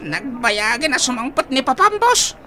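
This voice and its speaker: A man playing a whiny, excitable, deferential sidekick in a radio drama, with an Ilocano accent. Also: very high voice, moderate pace, exaggerated voice. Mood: whiny, impatient. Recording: crowd noise behind voice. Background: crowd.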